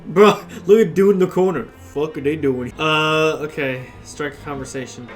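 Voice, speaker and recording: A woman's voice: sits low, moderate pace. A woman streaming a game with casual, slangy, blunt commentary. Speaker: gameplay streamer. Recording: game music behind commentary.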